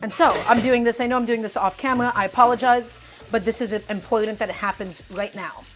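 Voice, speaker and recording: A woman's voice: on the high side, fast talker, soft background music. A young woman hosting a live cooking stream. She talks to her viewers in a chatty, upbeat way, often calling them "guys" and hyping them up. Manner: upbeat, hypes up the audience.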